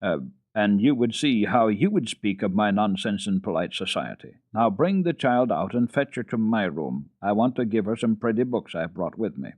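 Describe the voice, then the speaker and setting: A man voicing a kindly, brisk old grandmother in a storybook reading, warm, amused and emphatic. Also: lowish voice, animated. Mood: firm, brisk. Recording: dull, roomy old radio recording.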